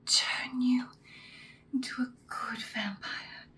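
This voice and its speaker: A woman playing a commanding female vampire mentor in a whispered ASMR roleplay, speaking softly, breathily and deliberately. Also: high voice, steady tone, voice pushed up, exaggerated voice. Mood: ominous, musing. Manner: slow, deliberate, instructing, ominous. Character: ancient-seeming female vampire mentor, commanding, once ruthless, now good.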